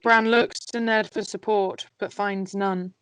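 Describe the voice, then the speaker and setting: A woman's voice: mid-range voice, fairly even intonation, unhurried, clear, resonant tone, no echo, poor audio. Woman narrating, dry close sound. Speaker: narrator reading stage directions. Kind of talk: scripted narration in an amateur table read.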